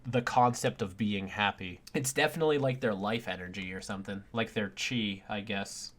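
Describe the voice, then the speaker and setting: A young adult man hosts a review in a casual, conversational way. He states his opinions plainly and often softens them, sounding like someone reading his own script to viewers. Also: lowish voice, moderate pace, slight background noise. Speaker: video essay host and reviewer.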